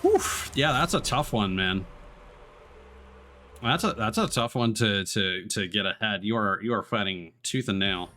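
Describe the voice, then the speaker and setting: A man giving casual live commentary as a video game streamer, thinking aloud in a halting way. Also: lowish voice, lively intonation, pushed and bright. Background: game music and vehicle sounds.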